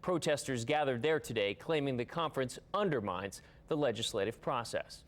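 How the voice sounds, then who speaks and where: A man's voice: mid-range voice, lively intonation, normal speed. A man with an American accent reporting as an investigative television news reporter in a scripted style.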